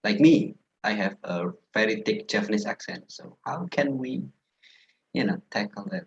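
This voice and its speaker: A man's voice: lowish voice, normal speed, a little hiss or hum. A man moderates an online session and reads out viewer comments. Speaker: webinar moderator. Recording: dull online call audio.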